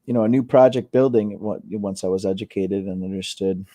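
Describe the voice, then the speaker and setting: Low, mellow man in his thirties. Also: brisk, dry recording. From Costa Rica. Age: 30s. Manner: relaxed, repeats words, many fillers. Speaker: guest. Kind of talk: casual interview chat.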